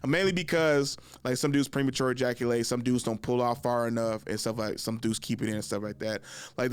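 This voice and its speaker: A man in his thirties hosting a casual chat, telling stories in a joking, slangy way, often laughing and jumping high in pitch when excited. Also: smooth, no echo. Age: 30s. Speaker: podcast host.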